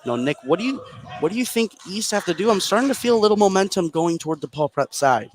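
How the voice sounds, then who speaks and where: A man's voice: high-pitched, sing-song, fast talker. A man calling live basketball play-by-play for a radio broadcast from a gym. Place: indoor high school basketball gym, courtside. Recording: live gym broadcast, loud background noise. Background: loud gym ambience.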